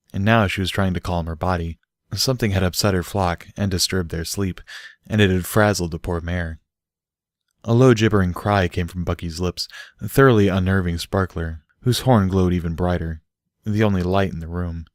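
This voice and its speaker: A man's voice: low-pitched, normal speed, no echo, clean studio sound. A man reading a story aloud as an audiobook narrator in scripted prose. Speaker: audiobook reader.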